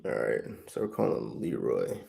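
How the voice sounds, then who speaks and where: A man from Trinidad, hosting a livestream and making a relaxed, friendly sales call to a property owner, full of slang and quick restarts. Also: rumbling low, vocal fry. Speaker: real estate investor, livestream host. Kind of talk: casual livestream chat and sales phone call.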